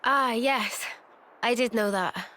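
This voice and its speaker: A woman playing a reserved, gentle young woman in a video game cutscene, speaking in a hesitant, understated way. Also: on the high side, slow.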